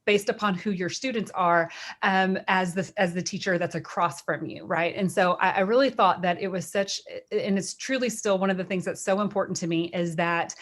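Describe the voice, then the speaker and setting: A woman's voice: lowish voice, fairly even intonation, normal speed. Chatty Texan woman presenting a webinar. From Texas. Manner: chatty, many fillers and restarts.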